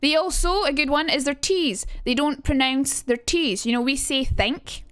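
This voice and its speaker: A young woman talks her way through a casual stream lesson, hopping between chat messages and her own points. Her voice sits on the high side and sounds dry and close, with no room around it. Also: normal speed, fairly bright tone, dry recording. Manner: chatty, reads chat aloud, jumps between topics, swears. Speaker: streamer teaching accents.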